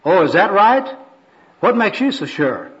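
A wary, wisecracking skid-row bum, played by a man reading aloud, talks in slangy, skeptical retorts. The pitch is fairly high and the recording is dull and lo-fi. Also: sing-song, a little slow. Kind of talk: amateur skit read aloud.

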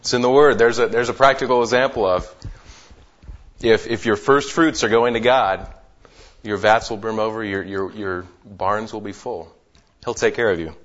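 A man's voice: fairly low pitch, moderate pace, a bit bright. A man leading a group Bible study in a casual way, often repeating words as he gathers his thoughts. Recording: dull lo-fi sound.

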